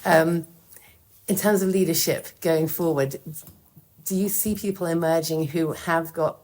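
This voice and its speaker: A woman from New York in the audience, thanking the speaker and asking a halting question with many restarts, heard from away from the mic. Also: low voice, steady tone, darkish tone.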